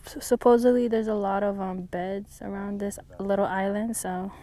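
A woman's voice: medium pitch, steady tone, moderate pace, dark tone. A woman chatting casually to her viewers while fishing, laughing often, filmed on a head-mounted camera outdoors at night. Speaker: vlogger. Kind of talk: casual fishing vlog chat.

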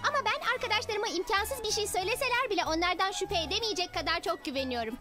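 A woman voicing a confident, determined young girl leader in a children's cartoon, decisive and expressive. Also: extremely high pitch, fast talker. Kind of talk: animated children's cartoon dubbing. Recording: music behind the voice.

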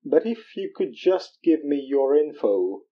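A man's voice: on the high side, very animated, unhurried, highs cut off. A man playing a pompous spiritual guru in an audio drama, speaking in a grandiose, flowery way. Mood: flustered, obliging. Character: pompous, theatrical male spiritual guru peddling remedies. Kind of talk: audio drama character acting.

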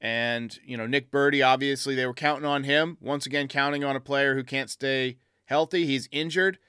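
A man's voice: mid-range voice, steady tone, moderate pace, bright, forceful tone, clear, resonant tone, no room sound, clean studio sound. A man hosting a fan call-in sports show, giving blunt, emphatic opinions in a casual way.

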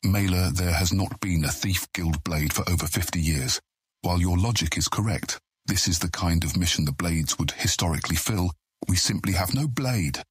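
A calm thieves' guild deputy with an extremely low, heavily rasping voice. He speaks with measured authority in a fantasy audiobook drama, recorded close and dry. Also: dry recording. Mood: calm, firm. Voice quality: deep, raspy.

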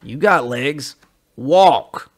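A sullen, intense revolutionary man, acted out by a male story reader. He is husky and reproachful. His clipped scolding moves widely in pitch. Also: expressive, drawn-out words, exaggerated voice. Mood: angry, reproachful.

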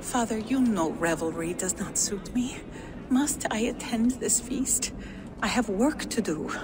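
A woman's voice: mid-range voice, unhurried, very noisy, lightly acted. A woman playing a grave, burdened giantess scholar and dutiful daughter in a video game, earnest and formal. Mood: reluctant. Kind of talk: video game dramatic acting. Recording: loud music and ambience behind.

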